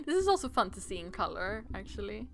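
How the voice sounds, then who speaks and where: A playful, giggly woman on a livestream, reading game text aloud and adding casual commentary. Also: mid-range voice.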